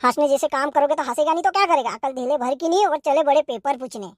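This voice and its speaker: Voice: high-pitched, quick pace, dry recording, studio quality, lightly acted. A performer voicing a hot-tempered young wife in a cartoon comedy, scolding and sarcastic. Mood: scornful scolding.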